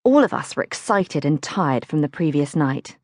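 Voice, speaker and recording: Expressive woman narrator, very dry recording. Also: deep voice, moderate pace, dry recording. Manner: expressive, acts out questions. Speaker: audiobook narrator. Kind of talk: scripted audiobook narration of a childhood diary.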